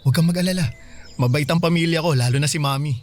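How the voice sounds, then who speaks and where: A man's voice: fairly high pitch, fast talker, a little mellow, slight background noise, close to an everyday voice. A man playing an eager younger boyfriend in a romance drama, coaxing and casual, with a Filipino accent. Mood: insistent, reassuring. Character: eager younger boyfriend, affectionate and pushing for commitment. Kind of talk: dialogue in a radio-style romance drama.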